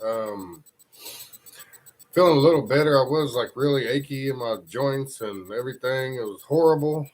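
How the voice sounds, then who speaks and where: Male streamer, congested, loose informal delivery, average pitch. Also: moderate pace, soft background music, low-quality recording. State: congested from a cold. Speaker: live stream news host. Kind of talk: casual live stream chat, reading comments.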